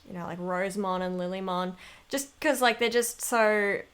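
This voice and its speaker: A woman's voice: mid-range voice, moderate pace, fairly bright tone, clean tone. A woman speaking casually as an interviewed voice actor, in a giggly, informal, rambling way.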